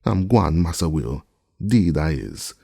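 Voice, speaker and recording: A man's voice: low-pitched, expressive, a little slow, vocal fry, mellow, slightly rough, no room sound, clean studio sound, voice pushed up, heavily acted. A man voicing an older male servant who is superstitious and stubborn, in drawling dialect speech, read as an audiobook character. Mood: wheedling.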